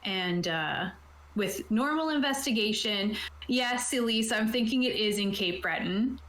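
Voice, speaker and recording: A woman's voice: medium pitch, lively intonation, a little slow, a bit bright. A woman game master casually explaining a tabletop game setup, chatty and informal with jokey asides. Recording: roomy, echoey sound.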